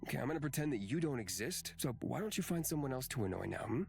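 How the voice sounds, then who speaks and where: A man's voice: mid-range voice, big pitch swings, fast talker, vocal fry, darkish tone, soft background music. A man voicing a grumpy, sarcastic young man in a visual novel, dry, cutting and impatient. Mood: exasperated.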